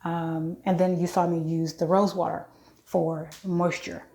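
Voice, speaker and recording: A woman nearing fifty talks through her face-cleansing routine in a low, mellow voice. She speaks evenly without much rise and fall, chatty and frank, stopping to correct herself mid-sentence. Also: flat delivery, measured pace. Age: 40s. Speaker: beauty vlogger. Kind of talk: casual skincare walkthrough.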